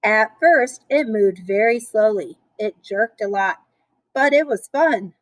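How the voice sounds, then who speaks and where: A woman with a fairly high voice reads a children's story at a slow pace. She is playful and expressive, slipping into chatty asides. The sound is close and dry. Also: no room sound. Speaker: storyteller reading a picture book aloud.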